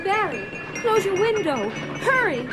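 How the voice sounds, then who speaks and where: A woman's voice: extremely high pitch, very animated, measured pace, over loud music, exaggerated voice. An actress playing a frantic, grief-stricken mother, pleading hysterically in a dramatised story record. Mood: panicked pleading.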